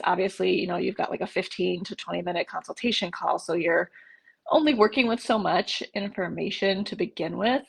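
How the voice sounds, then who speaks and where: A woman with a stuffed-up nose gives a live online training to fellow therapists. Her voice is husky with a gravelly edge, and she talks in a casual, chatty way, full of "like" and "um" and restarted sentences. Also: medium pitch. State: stuffed up from allergies. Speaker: coach for therapists. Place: home, in Oregon.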